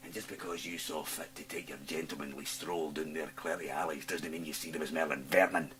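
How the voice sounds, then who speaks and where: A man's voice: rumbling low, steady tone, brisk, poor audio. An actor playing a sly, menacing, gleeful Scottish man in a radio drama, taunting and insinuating, breaking into hissing whispers. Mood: sly, mocking. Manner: taunting, insinuating, swinging from cajoling to snarling.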